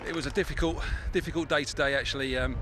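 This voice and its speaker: An English man in his thirties giving a halting, unscripted post-match interview, often repeating his words. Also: fairly high pitch, fairly even intonation. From England. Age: 30s. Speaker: tournament winner being interviewed. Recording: noisy outdoor interview.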